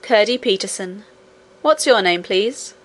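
A woman's voice: mid-range voice, lively intonation, unhurried. A woman voicing a brave, friendly young miner boy in a storybook, with an assured, plain-spoken manner. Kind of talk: storybook character reading.

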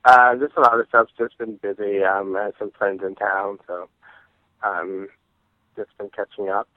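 Hesitant low-voiced co-host on a tinny line. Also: male voice, flat delivery, normal speed, no room sound. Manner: hesitant, with frequent ums. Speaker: podcast co-host. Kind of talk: casual chat. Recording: dry, tinny phone-like line.

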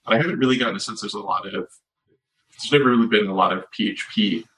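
A man's voice: on the low side, fast, fairly bright tone, low-quality recording. A man co-hosting a podcast in casual chat, rambling with many fillers and restarts. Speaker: podcast co-host.

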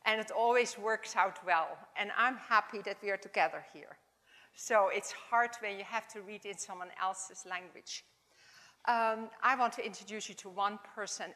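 A woman in her seventies with a Dutch accent, giving an informal, halting chapel talk in English as a second language. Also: mid-range voice. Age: 70s. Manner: warm, halting, non-native phrasing. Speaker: chapel speaker. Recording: long hall reverb.

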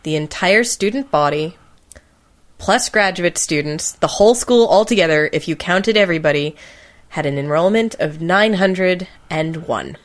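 A woman's voice: on the low side, expressive, moderate pace. A woman hosting a podcast in casual conversation, with a playful, teasing manner. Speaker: podcast host.

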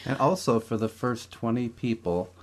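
A man's voice: mid-range voice, normal speed. A man interviewing on a radio show, cutting in with jovial quips and short remarks. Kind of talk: casual radio interview chat.

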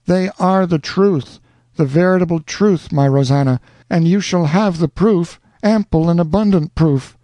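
A man's voice: fairly high pitch, a little slow, warm tone, no room sound, voice pushed up, close to an everyday voice. Man playing an ardent young lover. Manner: fervent, exclaiming, slightly raised. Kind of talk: audiobook character reading.

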